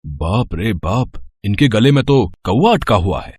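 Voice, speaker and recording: A man's voice: lowish voice, animated, a little slow, darkish tone, breathy edge. A man voicing a blunt, comically menacing village quack doctor in a cartoon story, with exaggerated delivery. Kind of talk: cartoon moral story acting.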